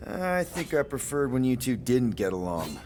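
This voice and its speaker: A man as a wry, animated space rogue. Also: medium pitch, normal speed, a crowd in the background, close to an everyday voice. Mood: wry, teasing. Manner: casual, hesitant, teasing. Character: wry, easygoing roguish space-crew leader man. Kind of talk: video game voice acting.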